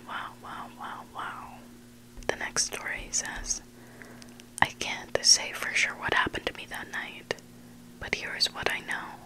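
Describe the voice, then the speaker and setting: An ASMR host whispering scary stories in a hushed, unvoiced voice, close to the microphone. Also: low-quality recording. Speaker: ASMR host reading listener-submitted stories. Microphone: close microphone made for headphone listening.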